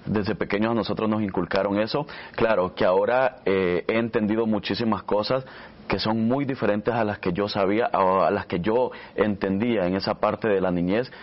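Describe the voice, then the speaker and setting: A slightly muffled recording of a man of thirty with a Salvadoran accent. His voice is on the low side, and he tells his own story conversationally as an interview guest. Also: fairly even intonation, moderate pace. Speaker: radio announcer and interview guest.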